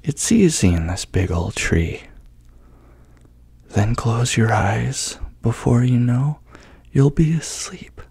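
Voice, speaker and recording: A man as a hushed, helpful little bird. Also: mid-range voice, lively intonation, slow pace, vocal fry, slightly rough, dry recording, studio quality, exaggerated voice. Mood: sleepy, coaxing. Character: woken little bird, helpful and easygoing, storybook. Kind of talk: soft-spoken ASMR storybook reading.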